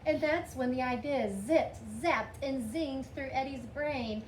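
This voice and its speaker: A woman's voice: high voice, a little slow, reverberant. A woman reading a picture book aloud expressively, lifting her voice for the children's lines. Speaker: storybook reader. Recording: faint background noise.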